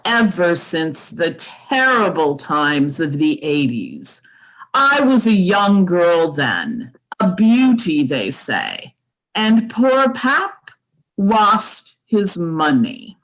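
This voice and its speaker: A woman's voice: medium pitch, very animated, fairly bright tone, heavily acted, low-quality recording. A woman playing a snobbish, commanding old matriarch in a radio play, drawing out her words haughtily. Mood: grand, reminiscing. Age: older. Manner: haughty, drawn-out, commanding delivery. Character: imperious, snobbish old grand dame matriarch. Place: remote home recording over video call. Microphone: video call.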